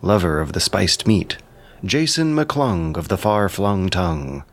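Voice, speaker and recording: A man's voice: deep voice, lively intonation, measured pace. A man giving scripted commentary in an articulate, bookish way with dry asides. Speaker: writer and narrator of an audio drama.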